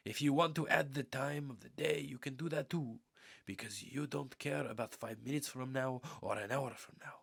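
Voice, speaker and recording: A man reading a spiritual audiobook in an earnest, measured, meditative way, with deliberate pauses and a husky, gravelly voice. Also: medium pitch, fairly bright tone. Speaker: audiobook reader.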